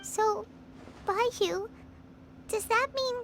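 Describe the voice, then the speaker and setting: A woman voicing a tiny, excitable, childlike female fairy companion in a video game. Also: very high voice, extremely slow, noisy background, exaggerated voice. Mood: puzzled. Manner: childlike, speaks of herself in third person. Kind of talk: scripted video game voice acting. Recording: game dialogue over clear background music.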